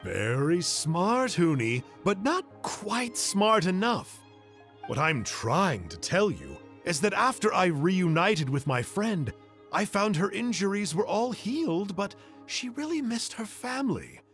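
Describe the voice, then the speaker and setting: A man's voice: sits high, sing-song, unhurried, a bit bright, soft background music, heavily acted. A man voicing a boastful, theatrical uncle who tells dramatic stories in a video game. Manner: hammy, dramatic storytelling. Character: boastful, theatrical adult male storyteller uncle.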